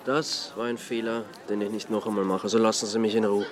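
A man's voice: on the low side, little pitch movement, fast talker, lightly acted. Grumpy Austrian man played by an actor, dry and weary. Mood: curt, irritated. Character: grumpy, weary Austrian dandy on holiday, reluctant sleuth. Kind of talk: radio drama acting.

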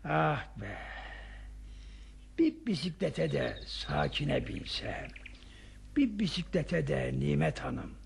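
A man's voice: a little high, creaky, exaggerated voice. An actor playing an eager, effusive older man in a radio play, exclaiming and repeating his words. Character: Hulusi, eager grateful older man, excitable cycling companion. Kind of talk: Turkish radio theatre acting. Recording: faintly noisy old radio drama recording.

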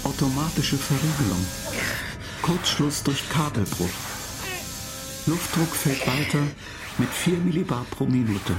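An actor in a radio play voicing an impassive spaceship computer that makes clipped, monotone technical announcements. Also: mid-range voice, unhurried, pauses often, loud music behind the voice, close to an everyday voice. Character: impassive spaceship computer reporting faults and countdowns.

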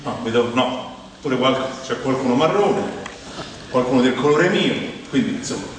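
A man's voice: medium pitch, lively intonation, normal speed. A man from Rome with a Roman accent delivers an ironic, conversational comic monologue to a theatre audience, teasing them as he goes. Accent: Roman Italian. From Rome, Italy. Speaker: stand-up monologist, stage performer. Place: theatre stage in a large hall. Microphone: distant microphone. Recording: echoey hall from far off, faint noise.

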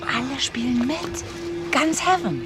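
A ruthless young female schemer in a radio drama. Her high voice swings widely and excitably, gloating and demanding. Music runs behind her. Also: slow pace. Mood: gloating, conspiratorial. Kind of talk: German radio drama acting.

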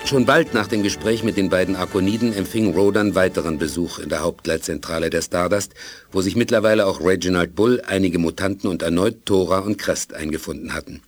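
A man's voice: deep voice, brisk, natural voice. A man narrating a space adventure in a composed, scripted manner for a 1980s German radio play. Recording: music under the voice.

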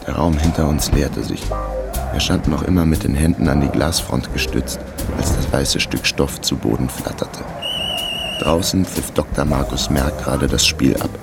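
A man playing a laconic fixer who narrates his case in a terse, deadpan way in a radio crime drama. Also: extremely low pitch, moderate pace, gravelly, over loud music. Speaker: narrator and protagonist.

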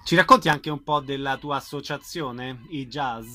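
A man's voice: mid-range voice, moderate pace, heavy background noise. A man interviewing a guest with short, informal questions.

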